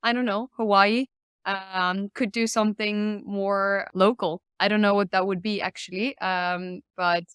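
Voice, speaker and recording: Smooth-voiced woman hosting. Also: mid-range voice, steady tone, a little slow, fairly bright tone, no room sound. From Finland. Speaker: host. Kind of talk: casual podcast discussion.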